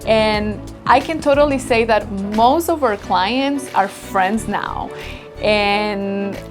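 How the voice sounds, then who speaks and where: Woman founder, 30s, from Ecuador, warm grateful interview talk, music under her. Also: mid-range voice, slow pace. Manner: earnest, grateful storytelling. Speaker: business founder. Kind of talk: interview answers. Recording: background music bed.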